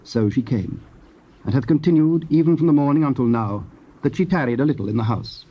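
A man's voice: medium pitch, lively intonation, fairly quick, breathy edge. A man giving solemn, scripted narration of scripture. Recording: dull, lo-fi old cassette.

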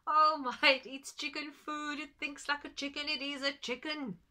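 A woman doing a scoffing, high-voiced farmer. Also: little pitch movement, moderate pace. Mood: mocking. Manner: incredulous, laughing loudly. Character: scoffing male village farmer, mocking.

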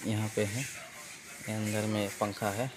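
A man's voice: lowish voice, flat delivery, takes it slowly. A man in his thirties with an Indian accent, chatting casually and informally to viewers as a vlogger, recorded on a phone held at arm's length. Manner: informal, addresses viewers as friends. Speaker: vlogger and factory worker. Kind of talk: casual vlog chat to camera. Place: tea factory halls and yard. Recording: noisy factory ambience, phone recording.

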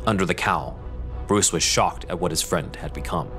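A man's voice: low-pitched, normal speed, sharp tone. A man reading scripted narration as the narrator of an audio drama. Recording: music behind voice.

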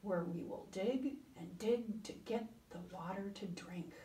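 A woman voicing a kind, patient male kangaroo who gently explains and encourages in a storybook reading. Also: mid-range voice, unhurried, close to an everyday voice. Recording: roomy, distant mic, soft cello music.

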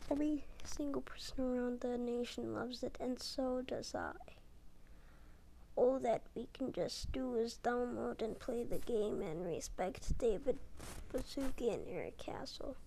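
A young girl talking in a hushed, often whispered voice late at night at home, with halting restarts. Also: on the high side, creaky, a little hiss or hum, rough recording. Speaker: video uploader. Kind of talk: casual talk over a screen recording. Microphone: tablet mic.

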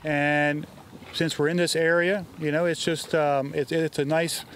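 Older man with halting, higher voice. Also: level tone, a bit bright. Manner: halting, hesitant phrasing. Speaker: yacht club commodore, interview guest. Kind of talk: unscripted interview answers. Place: outdoors on a waterfront dock. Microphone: interviewer's handheld microphone. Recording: outdoor sound, faint boat-side noise.

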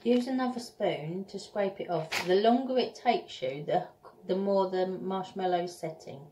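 A middle-aged woman in a home kitchen, briskly giving step-by-step cooking directions to her child. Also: medium pitch. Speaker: mother helping with cooking. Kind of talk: casual home cooking instructions. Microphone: phone camera propped on the counter, off to one side. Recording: loud background noise, home phone recording.

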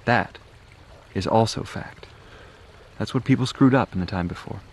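A low-voiced, steady wilderness mentor. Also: male voice, slightly rough. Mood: firm, earnest. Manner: measured, instructive, philosophical. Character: calm, self-assured young male outdoorsman mentoring a friend. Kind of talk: post-apocalyptic audio drama acting.

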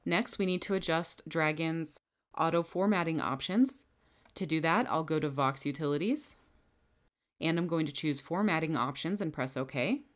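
A woman giving calm, methodical step-by-step instructions as a software trainer in a scripted screen-recording tutorial. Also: low voice, moderate pace.

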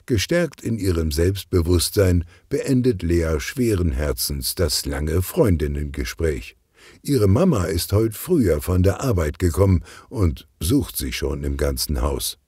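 A man narrating a children's detective story from a script in a husky voice. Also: sits low, very animated, a little slow, warm tone, no echo.

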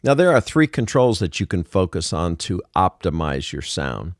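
A man's voice: deep voice, very animated, normal speed, no echo. A man narrating a casual music production tutorial, explaining things informally step by step.

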